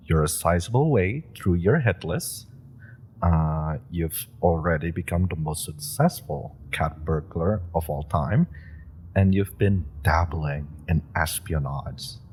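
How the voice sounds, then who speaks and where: Guy doing an eager young super rookie, deep husky voice, slow but bouncy delivery. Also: a little hiss or hum. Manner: eager, pushy, persuasive talk with playful laughs. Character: eager young male super-powered rookie, pushy and persuasive. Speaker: voice actor in an audio roleplay. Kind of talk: scripted audio roleplay addressed to the listener.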